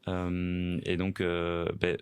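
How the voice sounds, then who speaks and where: A man's voice: low-pitched, monotone, drawn-out words, clear, resonant tone, no room sound. A man hosting a podcast, hesitant, with many fillers and friendly interjections. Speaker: podcast host. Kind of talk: casual interview chat.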